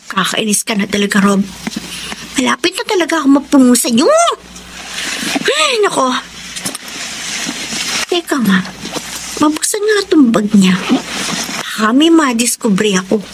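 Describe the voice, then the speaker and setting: A woman's voice: sits high, sing-song, a little slow, long pauses, background noise. A woman playing an exasperated, jealous live-in girlfriend in an emotional, nagging Tagalog radio drama style. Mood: jealous, annoyed. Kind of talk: Tagalog radio drama acting, with letter narration.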